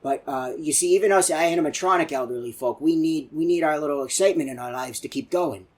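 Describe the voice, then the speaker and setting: A man voicing a chatty, excitable elderly animatronic in a video game, telling folksy, rambling stories in a husky old voice. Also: a little high, fast, close to an everyday voice. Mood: excited reminiscing. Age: older. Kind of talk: rambling game character monologue.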